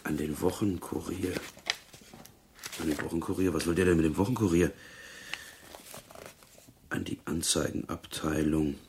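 A man's voice: low-pitched, steady tone, moderate pace, gravelly, pauses often, darkish tone, a little hiss or hum. A man acting in a radio crime drama as a crude, jovial, uneducated prison cellmate who talks in a pushy, teasing, colloquial way. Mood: nosy, mocking.

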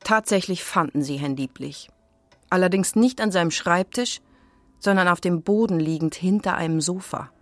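A woman with a husky voice narrating a scripted children's radio play as storyteller. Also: fairly low pitch, normal speed, no room sound.

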